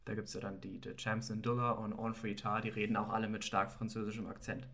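A man's voice: fairly low pitch, level tone, fairly quick, gravelly, fairly bright tone. A man co-hosting a podcast, chatting casually about a TV episode and explaining background with filler words. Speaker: podcast co-host.

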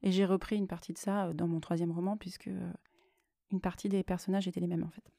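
A woman's voice: on the low side, flat delivery, quick pace, vocal fry, mellow, dry recording, studio quality. A woman hosting a solo podcast, speaking unscripted in a reflective, explanatory way and often correcting herself as she goes. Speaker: podcast host and novelist.

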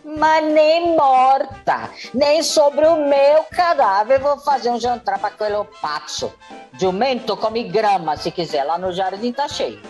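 A woman's voice: on the high side, animated, slow pace, darkish tone, faint music behind. A woman playing a defiant, hot-tempered young sister in a comedic radio soap, theatrical and mocking, dropping in Italian words. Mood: indignant, scornful.

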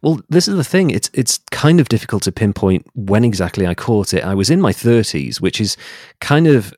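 Low-pitched man explaining, dry close sound. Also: very animated, moderate pace, darkish tone, no echo. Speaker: guest. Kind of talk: personal interview account.

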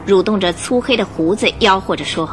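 A woman narrator telling a scripted radio drama story in an expressive, suspenseful style. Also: mid-range voice, fairly quick. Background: music under the voice.

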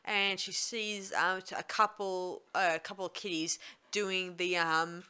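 A woman's voice: fairly low pitch, fairly even intonation, a little slow, pushed and bright, clean tone. A woman co-hosting a casual film review chat, often searching for words with ums and restarts. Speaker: podcast co-host.

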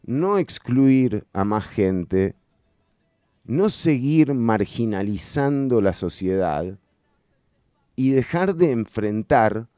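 A man's voice: medium pitch, big pitch swings, slow pace, dark tone, no echo, clean studio sound. A man hosting a radio show, giving emphatic political opinion commentary and repeating key phrases for stress. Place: radio studio.

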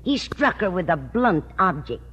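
A woman's voice: on the low side, big pitch swings, normal speed, a bit bright, slightly rough, exaggerated voice. An actress playing a chatty, scatty older landlady in vintage radio comedy style, folksy and gossipy. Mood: chatty, gleeful. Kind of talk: 1940s-50s radio sitcom acting. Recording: dull old-time radio recording, faint noise.

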